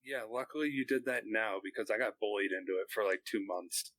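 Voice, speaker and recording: Male co-host, bantering, bright forceful tone, dry close recording. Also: lowish voice, fairly quick, dry recording. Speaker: podcast co-host. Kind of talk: casual banter.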